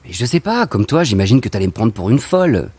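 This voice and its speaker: A man's voice: on the low side, big pitch swings, quick pace, darkish tone, breathy edge, no echo. A man playing a fed-up but hopeful athlete in a French audio drama, colloquial and insistent. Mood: hesitant, wistful. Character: Raph, fed-up, hopeful athlete dreaming of escape. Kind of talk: naturalistic French audio fiction acting.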